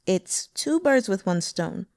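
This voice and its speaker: A woman's voice: medium pitch, big pitch swings, slow pace, creaky, darkish tone, no room sound, clean studio sound, exaggerated voice. A woman voicing a loyal, stern male protector of a demonic sect who explains things in a plain, matter-of-fact way. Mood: displeased.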